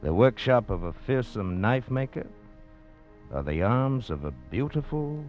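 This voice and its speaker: A man's voice: medium pitch, expressive, a little slow, a little mellow. A man announcing a 1940s radio suspense show in an ominous, dramatic manner. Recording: muffled old radio recording with faint music.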